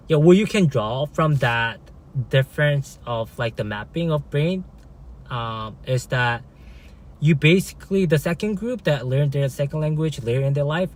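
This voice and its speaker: A man whose first language is Korean, chatting casually on a live stream, halting, with many fillers and restarts and frequent "right?" checks. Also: mid-range voice. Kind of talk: casual live-stream chat, reading viewer comments.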